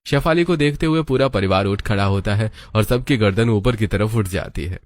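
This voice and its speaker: A man's voice: on the low side, normal speed, dry recording, lightly acted. A man reading scripted narration for a family cartoon story.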